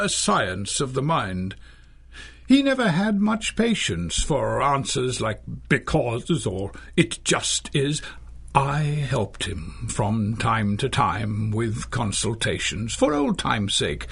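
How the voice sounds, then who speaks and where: An actor playing an urbane old retired magician and crime fighter in a radio drama, with a theatrical, formal, dryly witty delivery. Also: male voice, mid-range voice, vocal fry, fairly bright tone, husky, exaggerated voice. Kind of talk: 1930s-style radio drama acting.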